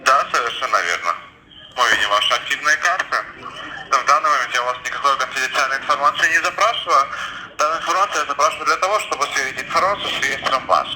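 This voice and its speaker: A man's voice: mid-range voice, quick pace, sharp tone. A man talking like a scripted call-centre agent on a phone call, formal, officious and insistent, reciting stock phrases. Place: telephone line. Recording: echoey, noisy recorded telephone call.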